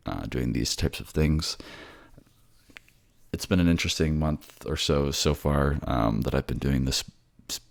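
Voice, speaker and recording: This is a male voice actor speaking to his audience. His voice sits extremely low, with a pronounced creaky, gravelly texture. He talks as the narrator and creator of his own audio series. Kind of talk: narration and casual sign-off to listeners.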